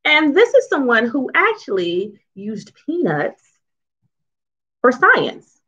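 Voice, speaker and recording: A woman reads a picture book aloud to children as its author, in an expressive, teacherly way, pausing to explain and ask questions. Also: sits high, breathy edge, highs cut off. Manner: teacherly, asks questions and checks in often.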